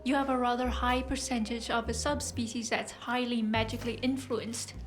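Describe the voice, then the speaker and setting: A woman performs a long-lived scientist-healer for an audio drama. Her voice sits fairly high, and she explains things in precise, clinical terms with detached fascination. Soft music plays underneath. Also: level tone, moderate pace, close to an everyday voice. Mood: explanatory. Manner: clinical, articulate, lecturing.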